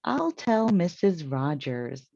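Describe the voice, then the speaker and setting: Woman playing an earnest, literal-minded housekeeper, softly mellow. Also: on the low side, very animated, slow pace, vocal fry, dry recording, muffled recording, full character voice.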